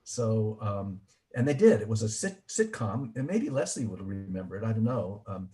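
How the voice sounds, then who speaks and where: A man's voice: fairly low pitch, big pitch swings, moderate pace, warm tone. A middle-aged man from Miami interviewing a guest, asking long, rambling questions in a chatty way. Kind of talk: casual interview.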